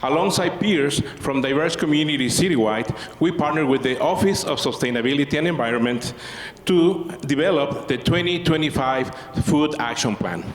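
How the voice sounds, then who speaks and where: A man who co-founded a community organization, giving a measured, earnest prepared speech. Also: on the high side, expressive. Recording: roomy hall reverb, faint noise.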